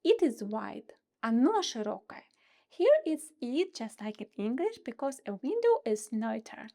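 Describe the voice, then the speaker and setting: A young woman with a Russian accent, teaching grammar in an online lesson. Her voice sits high and leaps around a lot. She keeps talking straight to her viewers in a playful way. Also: measured pace, creaky. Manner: lively, playful, talks directly to viewers.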